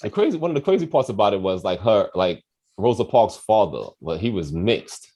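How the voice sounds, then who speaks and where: A man in his forties with a fairly low voice and very lively intonation. He talks like a casual host telling stories among friends, loose and profane, often acting out what people would say. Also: a little fast, breathy edge. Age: 40s. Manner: animated, profane, acts out quoted voices. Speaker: quiz host on a talk show. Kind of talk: casual chat and storytelling.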